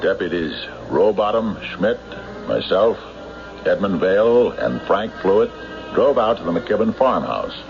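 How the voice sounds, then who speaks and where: An actor in an old-time radio drama playing a shrewd, relentless sheriff who questions suspects with deliberate, accusatory pauses. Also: male voice, low-pitched, animated, highs cut off, soft background music. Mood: commanding, sardonic. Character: shrewd, relentless small-town sheriff interrogating murder suspects. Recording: noisy band-limited old radio broadcast recording.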